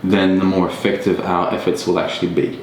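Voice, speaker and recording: A man in a relaxed podcast conversation, talking in a thoughtful way with many ums and restarts. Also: fairly quick. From Australia. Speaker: podcast guest. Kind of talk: casual reflective conversation. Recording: echoey room with faint rumble.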